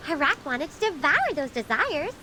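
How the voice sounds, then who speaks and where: Earnest, high-voiced young mage. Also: female voice, sing-song, moderate pace, sharp tone, a little hiss or hum, full character voice. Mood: thoughtful. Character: earnest, brave young female aspiring mage. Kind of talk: scripted game voice acting.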